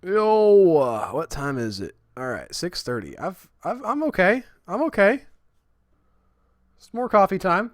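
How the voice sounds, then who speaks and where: A man chatting casually while he streams a building game, rambling and self-deprecating, with a high, squeaky voice. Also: sing-song, measured pace, no room sound, studio quality. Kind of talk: casual livestream chat.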